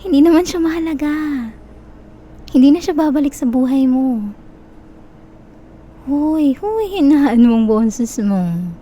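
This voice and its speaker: Woman acting a soft, mellow possessive Filipino stalker girl. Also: sits high, animated, takes it slowly, long pauses. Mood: quietly menacing. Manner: soft, coaxing, teasing, quietly menacing. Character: possessive, manipulative young female stalker, obsessively in love. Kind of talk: Tagalog-style ASMR roleplay, intimate close address.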